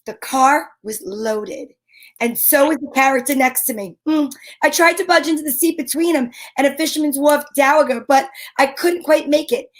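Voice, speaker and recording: A wisecracking female private eye, played by a woman tells her case in old-time radio fashion. Her voice is high, her delivery wry and self-assured with deadpan quips. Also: normal speed, close to an everyday voice. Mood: wry, narrating.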